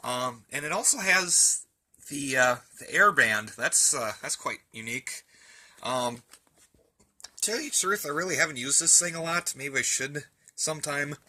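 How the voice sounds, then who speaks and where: A man's voice: fairly high pitch, lively intonation, measured pace, bright, forceful tone. A man giving a casual, rambling show-and-tell indoors, thinking aloud in a folksy, colloquial way. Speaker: hobbyist presenter. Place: indoors, in a room at home.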